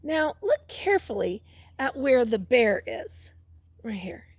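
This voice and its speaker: A woman's voice: on the high side, very animated, breathy edge, dry recording. A woman teacher reading a picture book aloud to young children, stopping to ask them questions and prompt them. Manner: pauses to question and prompt listeners.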